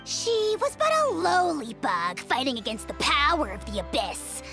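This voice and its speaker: Pompous, high-pitched little dragon lord. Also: female voice, sing-song, normal speed, music in the background. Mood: condescending. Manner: pompous, grandiose royal "we". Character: tiny pompous dragon lord, arrogant, haughty, quick to anger. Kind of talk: theatrical fantasy game acting.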